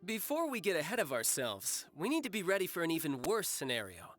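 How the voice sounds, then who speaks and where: A man's voice: on the high side, wildly swooping pitch, fairly quick, a bit bright, soft background music. An actor playing a cocky, battle-loving young man in a video game, with a breezy, teasing manner. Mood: composed. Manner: breezy, teasing, smooth-talking. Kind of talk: English video game voice acting.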